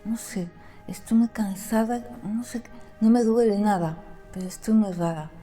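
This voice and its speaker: A woman guest who cannot pronounce the letter R, telling her story plainly with many pauses and fillers. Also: mid-range voice, dark tone, soft background music. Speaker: interview guest. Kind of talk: conversational storytelling.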